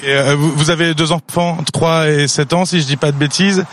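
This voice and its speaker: A man reporting live and informally as a roving radio reporter, halting and repeating words as he goes. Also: on the high side, fairly even intonation, a bit bright, slight background noise.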